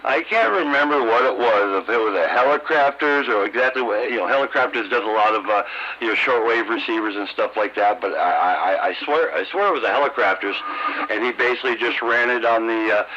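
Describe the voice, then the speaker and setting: A middle-aged or older American man chatting casually as a radio hobbyist, halting and repeating his words, over a CB radio transmission. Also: medium pitch, sharp tone. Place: two-way CB radio conversation. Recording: dull, noisy CB radio transmission.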